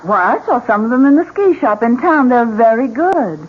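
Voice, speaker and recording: An actress playing a polite, anxious married hostess with an earnest manner in a 1970s radio drama. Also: female voice, on the high side, expressive, normal speed, breathy edge. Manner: courteous, earnest. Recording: tinny, noisy old radio broadcast.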